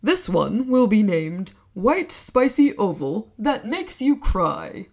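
A woman voicing a proud old male dinosaur scientist in a children's storybook, with a deliberate, drawn-out delivery. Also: medium pitch, very animated, a little mellow, full character voice. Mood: pondering.

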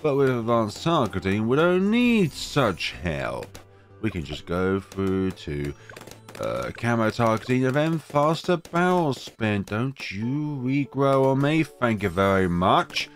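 A man's voice: mid-range voice, sing-song, slow, soft background music. A man with a cold narrating gameplay in a casual, wry way, talking to his game units as he plays. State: has a cold, voice deeper than usual. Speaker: game guide creator.

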